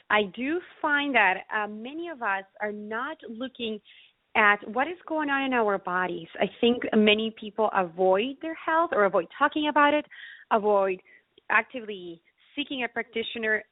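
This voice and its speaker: A woman from Ecuador, explaining health topics conversationally as an interview guest, in an earnest, deliberate way. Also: a little high, expressive, no room sound, phone-quality sound. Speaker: interview guest, naturopathic physician.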